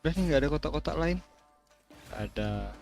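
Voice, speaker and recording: A man's voice: fairly high pitch, lively intonation, quick pace, vocal fry, darkish tone, faint music behind. A man from Indonesia with an Indonesian accent, giving casual gameplay commentary as a streamer. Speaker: game streamer.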